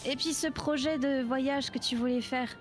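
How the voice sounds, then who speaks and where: A woman playing a gentle, supportive friend in an improvised game roleplay scene, reflective and encouraging. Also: high-pitched, steady tone, normal speed, faint music behind, lightly acted.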